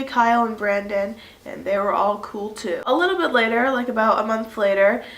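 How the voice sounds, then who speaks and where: A woman's voice: medium pitch, a bit bright. A woman in her early twenties with a stuffy nose from a cold, chatting casually to her viewers with lots of fillers. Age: early 20s. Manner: chatty, rambling, many "like" fillers. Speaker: vlogger. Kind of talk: casual chat to camera. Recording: echoey room.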